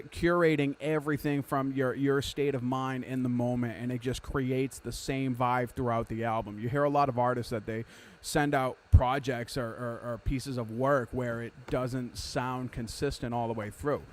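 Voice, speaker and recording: A man's voice: mid-range voice, fairly even intonation, normal speed. A man in his thirties hosting a podcast, chatting casually in an emphatic, profane way and giving advice. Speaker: podcast host.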